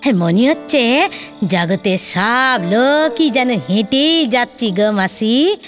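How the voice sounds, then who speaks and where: A woman's voice: a little high, lively intonation, takes it slowly, fairly bright tone, soft background music. A woman playing a cheeky, boastful younger housemaid in a Bengali audio drama, argumentative, with a colloquial rural West Bengal accent. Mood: casual, sly.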